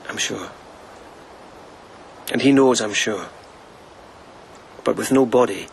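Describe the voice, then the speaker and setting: An actor playing a reserved young lieutenant in a period radio drama, speaking in a terse, understated way. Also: male voice, on the low side, slow pace, creaky, slight background noise. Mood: grim, controlled. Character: reserved, wistful young lieutenant, a widower bent on vengeance.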